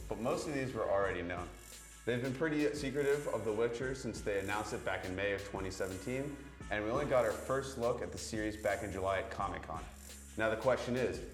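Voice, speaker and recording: A man's voice: lowish voice, echoey room. A young man co-hosting a gaming news show, reading scripted items with joking asides. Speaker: news co-host. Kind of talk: scripted news read with banter.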